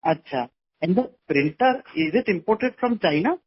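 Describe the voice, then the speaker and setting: A man's voice: sits high, expressive, moderate pace, slightly rough. A man with an Indian accent asking questions as an investor on an earnings call. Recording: dull, noisy phone conference line.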